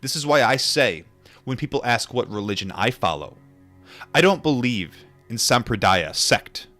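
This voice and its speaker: A man reading a book aloud and adding informal asides, in a measured, deliberate manner. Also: mid-range voice, lively intonation, pushed and bright, faint music behind. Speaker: audiobook reader and commentator.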